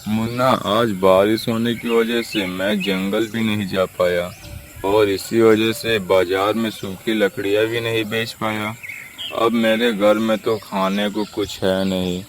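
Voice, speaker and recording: Man voicing a humble, slow-talking crow father. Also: fairly low pitch, little pitch movement, slight background noise, close to an everyday voice. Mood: humble, pleading. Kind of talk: Hindi children's cartoon story dialogue.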